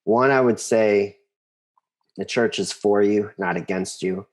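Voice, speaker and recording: A man's voice: fairly low pitch, a little slow. An American man in his forties speaking casually as an interview guest, thinking aloud and repeating phrases for emphasis.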